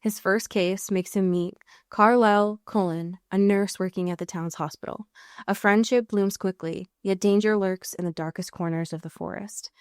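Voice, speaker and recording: An American woman co-hosting a podcast in casual, comedic chat, riffing with mock exasperation and drawn-out emphasis. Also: mid-range voice, steady tone, smooth, dry recording, studio quality. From US Pacific Northwest. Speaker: podcast co-host.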